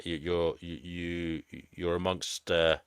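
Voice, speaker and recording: A man's voice: sits low, little pitch movement, takes it slowly, smooth, no echo, studio quality. A man hosting a live panel stream, chatting casually and informally with guests.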